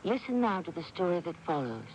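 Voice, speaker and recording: An American man hosting a radio mystery show, giving a measured, ominous scripted introduction on a hissy 1980s AM broadcast. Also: fairly high pitch, sing-song, dull audio. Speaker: host of a radio mystery anthology.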